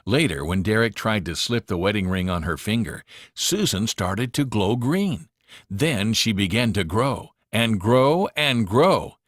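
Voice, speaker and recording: A man narrating a children's read-along storybook in an expressive, dramatic manner. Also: lowish voice, a little slow, no echo, clean studio sound.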